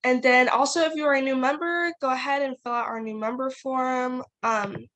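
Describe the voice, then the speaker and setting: A woman's voice: sits high, fairly even intonation, moderate pace, a bit bright. Young woman chairing an online meeting. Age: young adult. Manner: chatty, frequent fillers. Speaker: youth working group chair. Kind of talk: casual online meeting talk. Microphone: video call.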